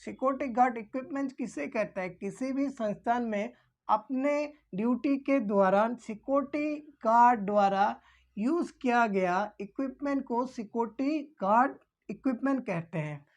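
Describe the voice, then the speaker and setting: Woman, high voice, informal instructor, repetitive explanations, question-and-answer teaching. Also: normal speed.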